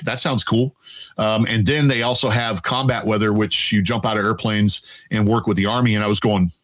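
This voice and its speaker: Deep-voiced relaxed man in his fifties. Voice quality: deep resonant voice. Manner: relaxed, chatty, quotes himself and laughs easily. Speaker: Air Force veteran guest. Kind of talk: casual storytelling interview.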